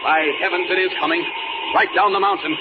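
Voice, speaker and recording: A man's voice: sits high, steady tone, brisk, loud music behind the voice, close to an everyday voice. A man playing a resolute, commanding superhero in 1940s radio acting style, on a muffled old recording. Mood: strained, resolute.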